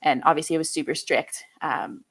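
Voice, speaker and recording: A woman in her late twenties from Quebec, a native French speaker, talks easily as a podcast guest. Her voice sits a little low. She is chatty and relaxed and leans on fillers as she goes. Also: brisk, breathy edge. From Quebec, Canada. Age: 20s. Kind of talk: casual conversation.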